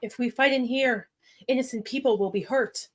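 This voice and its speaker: A woman's voice: a little high, normal speed, close to an everyday voice. A woman playing a noble, protective, confident superheroine in a radio theatre read. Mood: resolute.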